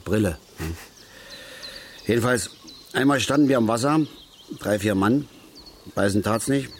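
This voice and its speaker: Male actor as aggrieved middle-aged dad, lowish voice, halting, blunt, repetitive, naturalistic radio play, outdoor background noise. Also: natural voice. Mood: pensive. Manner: blunt, halting, repeats words. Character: agitated middle-aged father, passionate angler, aggrieved and plain-spoken. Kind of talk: naturalistic German radio drama acting.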